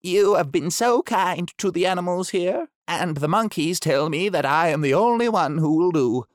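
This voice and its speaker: A man voicing a shy, polite two-headed deer-like animal in a storybook reading, speaking slowly and gently. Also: high voice, lively intonation, no echo, studio quality, pitched above the performer's own voice. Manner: timid, slow and gentle.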